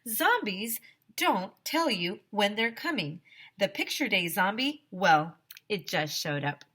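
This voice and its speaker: A woman teacher reading a children's story aloud expressively, with dramatic pauses and direct asides to young listeners. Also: mid-range voice, sing-song, sharp tone, husky.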